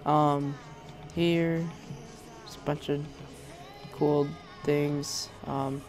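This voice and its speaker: A man's voice: a little high, flat delivery, extremely slow, over music. A young man with a sore throat, casually showing off a Minecraft resource pack, rambling with lots of hesitations. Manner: rambling, hesitant, many ums and restarts. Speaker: Minecraft YouTuber. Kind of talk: casual gameplay showcase commentary.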